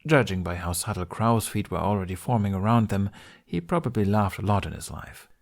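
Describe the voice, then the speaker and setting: A man's voice: on the low side, lively intonation, brisk, creaky. A man reading a story aloud as a scripted narration. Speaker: fan fiction narrator.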